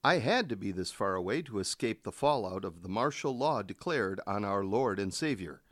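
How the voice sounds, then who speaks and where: A man's voice: fairly low pitch, animated, normal speed, dry recording, clean studio sound, close to an everyday voice. A man playing an overwrought, zealous field news correspondent in a satirical radio drama. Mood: overwrought, earnest. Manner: melodramatic.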